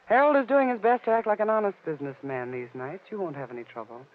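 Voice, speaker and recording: A woman's voice: mid-range voice, fast, close to an everyday voice. An American actress playing a sly, flirtatious, streetwise nightclub woman in a 1940s radio detective drama. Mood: coy, teasing. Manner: coy, teasing, streetwise. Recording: noisy, muffled, lo-fi 1940s radio recording.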